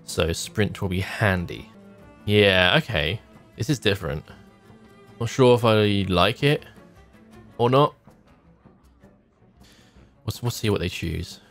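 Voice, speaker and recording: A man's voice: fairly low pitch, animated, slow, long pauses, fairly bright tone. A man in his thirties giving casual gameplay commentary as a streamer, thinking aloud in short, musing remarks. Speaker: game streamer. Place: small home room, indoors. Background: background music.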